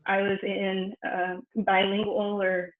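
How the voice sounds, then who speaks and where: A woman's voice: mid-range voice, completely flat delivery, slow. Woman speaker over a tinny echoey line. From United States. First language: Spanish. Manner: thoughtful, frequent fillers and restarts. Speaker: researcher giving a presentation. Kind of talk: personal academic talk.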